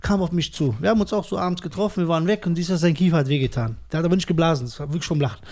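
A man's voice: on the high side, very fast. A man in his thirties, a stand-up comedian guesting on a casual podcast, telling stories animatedly and laughing often. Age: 30s. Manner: animated storytelling with bursts of laughter. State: sore throat.

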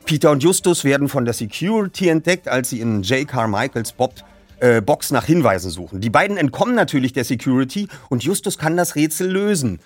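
A man's voice: mid-range voice, lively intonation, brisk. A man presenting a radio play feature, talking casually and reading out plot points.

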